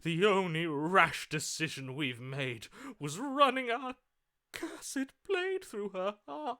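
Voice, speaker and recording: A man's voice: high-pitched, lively intonation, slow pace, pushed and bright, exaggerated voice. A man voicing a lovestruck, melodramatic romantic hero in a video game, speaking in a theatrical, florid, overwrought manner. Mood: grieving, grandiose. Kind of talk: video game character voice acting.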